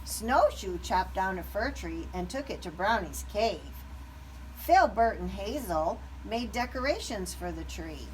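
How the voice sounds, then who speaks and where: A woman narrating a children's story aloud with expressive, deliberate phrasing. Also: mid-range voice, far from the mic, low-quality recording. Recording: background noise.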